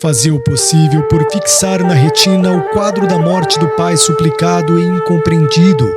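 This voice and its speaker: A man with a Brazilian accent reading a scripted audiobook narration in a solemn, measured, dramatic manner. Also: on the high side.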